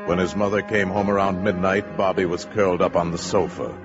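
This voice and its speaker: A man's voice: low-pitched, steady tone, a little fast. A man with an American accent narrating a 1950s science fiction radio drama in a dramatic, suspenseful way. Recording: muffled 1950s radio recording, music behind.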